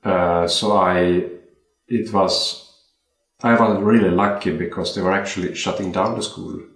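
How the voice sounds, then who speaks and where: A young man from Sweden talks about his watchmaking career in an easy, halting way, restarting and repeating words as he thinks. His voice sits very low. The sound bounces around a home room. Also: steady tone, fairly bright tone. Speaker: watchmaker guest. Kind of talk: casual interview chat. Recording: roomy home interview.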